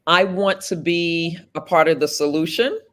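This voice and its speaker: A woman in her fifties from South Florida, a political candidate answering interview questions in an earnest, deliberate way with measured pauses. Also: on the low side, steady tone, fairly bright tone. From South Florida, USA.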